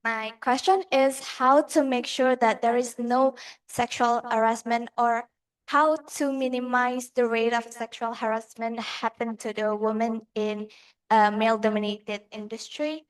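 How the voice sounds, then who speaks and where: A woman in a lecture audience asks a formal question. Her voice sits a little high. She is polite and courteous throughout. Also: little pitch movement, measured pace, rough recording. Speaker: audience member asking a question.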